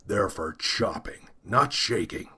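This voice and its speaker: A man voicing a dim, macho cop in a read-aloud story, growling his lines slowly. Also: on the low side, expressive, sharp tone, breathy edge, pitched below the performer's own voice, heavily acted. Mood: gruff. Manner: slow, growling. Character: dim, macho male cop, stiff and gruff. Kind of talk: storybook character voice.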